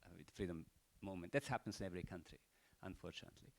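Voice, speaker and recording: A man's voice: low voice, racing, creaky. An older man with a Croatian accent giving rambling, unscripted closing remarks at a panel event, full of rhetorical questions and "you know".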